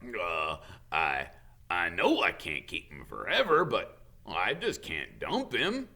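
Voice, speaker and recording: A big doting gamekeeper from a storybook, acted out by a male reader, tearful and soft-hearted. The words come halting and stretched, with a crooning sentimentality, and the pitch swoops widely in a bright, forceful tone. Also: huge pitch swings, gravelly, exaggerated voice. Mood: tearful, pleading.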